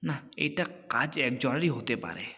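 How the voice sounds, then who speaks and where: Voice actor as angry male cartoon tiger, blustering threats, animated swoops, echoing hall reverb. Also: mid-range voice, moderate pace, breathy edge, full character voice. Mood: enraged. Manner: blustering, repetitive threatening tirades. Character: hot-tempered male tiger, blustering bully guarding his chickens. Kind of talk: cartoon animal story voice acting.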